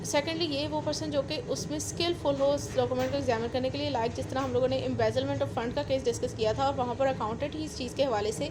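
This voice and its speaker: A woman's voice: sits high, steady tone, fast talker, hardly any pauses, noisy background. A woman with a Pakistani accent giving an explanatory law lecture, going step by step and repeating key points. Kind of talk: explanatory lecture, reading out and explaining legal articles.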